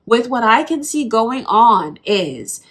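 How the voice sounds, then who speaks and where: A woman's voice: on the high side, very animated, slow pace, fairly bright tone, husky. A woman with a stuffy, congested voice from allergies, giving a casual, reassuring tarot reading straight to the viewer. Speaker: tarot reader.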